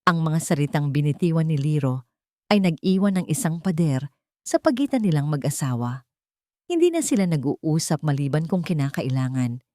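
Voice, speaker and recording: Low-pitched woman narrating a dramatic story. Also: mellow, no room sound, studio quality.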